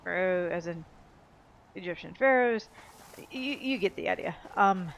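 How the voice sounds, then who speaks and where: A woman's voice: on the low side, animated, unhurried, creaky, slight background noise. A woman giving casual video game walkthrough commentary, thinking aloud in a rambling way. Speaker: gaming video host. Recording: game music behind the voice. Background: video game music.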